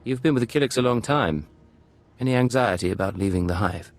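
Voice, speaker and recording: An actor playing a cool, sardonic secret agent in a video game, dry and self-assured. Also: male voice, medium pitch, a little fast, faint music behind, lightly acted. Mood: wry, confident. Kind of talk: video game cutscene acting.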